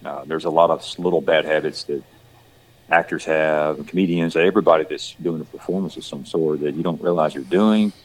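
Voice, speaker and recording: A man's voice: extremely low pitch, steady tone, fairly quick, rough recording. A man from Georgia with a Southern country accent, chatting casually as an actor guest, rambling with lots of "you know". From Georgia, USA. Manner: rambling, repeats words and restarts, says "you know" often. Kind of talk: casual podcast chat.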